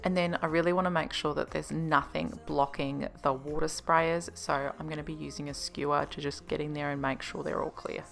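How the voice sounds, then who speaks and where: A woman's voice: low voice, fairly even intonation, a little fast, gravelly, few pauses, music in the background. A woman in her thirties with an Australian accent, narrating a casual cleaning vlog in a chatty, explanatory way. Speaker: home organisation vlogger.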